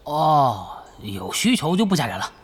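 An actor playing a polite, friendly young gamer teammate in an audio drama, speaking a little hesitantly. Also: male voice, on the high side, sing-song, normal speed, slight background noise. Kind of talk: Chinese audio drama acting.

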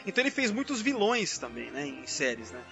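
A man's voice: sits high, very animated, a little fast, gravelly, bright, forceful tone, dull audio. A Brazilian man in a casual podcast discussion, rambling and constantly adding little tag questions. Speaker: podcast panelist. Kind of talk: casual chat, film and TV trivia discussion. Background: background music.

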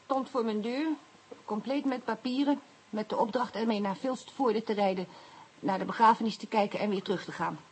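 A woman's voice: fairly high pitch, a little fast, darkish tone, a little hiss or hum, natural voice, poor audio. An actress playing a guarded, haughty woman suspect under police questioning in a Dutch radio play. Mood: reluctant confessing.

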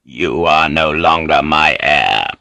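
A man voicing a cold, ruthless Japanese feudal warlord in an audiobook, curt, commanding and deliberate. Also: deep voice, bright, forceful tone, dry recording, voice pushed down, exaggerated voice. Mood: cold, menacing. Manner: curt, menacing, slow and deliberate. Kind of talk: dramatic audiobook character reading.

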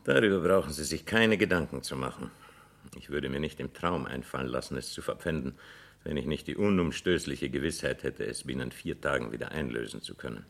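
An actor playing a haughty, commanding nobleman in a German radio drama, speaking in a formal, measured, imperious way. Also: extremely low pitch, gravelly, fairly bright tone, heavily acted. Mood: stern, insistent. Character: haughty, commanding nobleman client of a London banker.

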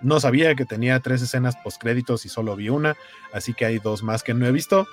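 A man's voice: medium pitch, quick pace. Chatty Mexican man hosting over music. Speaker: co-host of a gaming news show. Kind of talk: casual chat, reading viewer comments. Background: music behind the voice.

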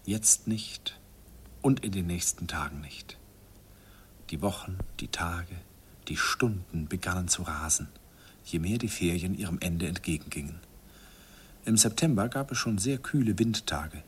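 A man's voice: deep voice, measured pace, a little hiss or hum. An actor playing a wistful man who narrates memories of his youthful love in a confiding, self-mocking radio play style. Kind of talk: intimate first-person radio play narration.

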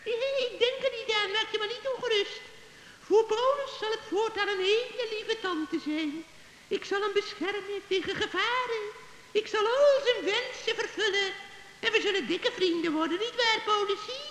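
An actor in a children's radio play voicing a cackling old witch, sugary and scheming, with a creaky, shrill voice. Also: normal speed, fairly bright tone. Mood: sugary promising. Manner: wheedling, gushing. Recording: hissy old recording, echoey hall reverb.

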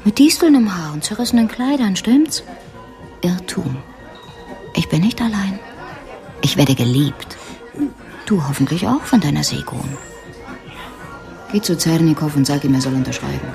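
Resolute sardonic woman, fairly low voice, curt, pointed, radio drama, faint music behind. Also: expressive, normal speed, vocal fry, pauses often, crowd noise. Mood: cool, defiant. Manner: matter-of-fact, pointed, curt. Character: sardonic, resolute woman, lawyer's former lover. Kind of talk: German radio crime drama acting.

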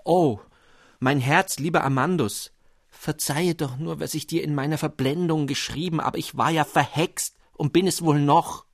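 Cast a man as a weeping young maiden, pleading, raised and husky. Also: on the high side, normal speed, no room sound, clean studio sound. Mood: remorseful. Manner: pleading, breathless apology. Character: young woman, remorseful and tearful.

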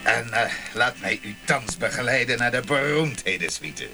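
An actor playing a fawning, scheming motel keeper in a Dutch radio play, with hesitant, obsequious sales patter. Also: male voice, medium pitch, expressive, normal speed, close to an everyday voice. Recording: music behind the voice.

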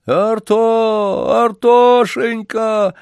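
A man's voice: on the high side, lively intonation, slow, vocal fry, no echo, clean studio sound, voice pushed up, heavily acted. A man voicing a frail old grandfather, a grumbling, plaintive circus wanderer in a story, speaking in a folksy, emphatic way. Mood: anxious calling. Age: older.

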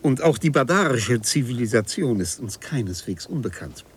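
An actor playing a polite, eager bookseller in a German radio play, speaking formally and with some hesitancy. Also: male voice, mid-range voice, sing-song, normal speed, close to an everyday voice. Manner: hesitant, formal, mirrors archaic address. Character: polite, eager Parisian bookseller meeting a time traveller. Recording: 1970s radio play with audible noise.

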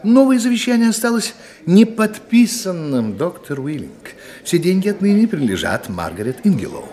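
High, swooping fussy theatre producer. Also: male voice, very animated, moderate pace, warm tone. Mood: self-justifying. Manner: effusive, repeats himself. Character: fussy, self-serving male theatre producer. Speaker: radio play actor. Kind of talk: theatrical Russian radio play acting.

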